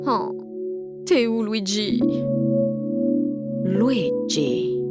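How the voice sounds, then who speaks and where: A woman's voice: medium pitch, expressive, very slow, loud music behind the voice. An actress playing a brash, lovestruck sixteen-year-old girl, narrating an audio drama in sarcastic, dramatic, slangy speech. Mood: dreamy, lovestruck. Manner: slangy, foul-mouthed, self-mocking. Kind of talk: first-person audio fiction narration with acted dialogue.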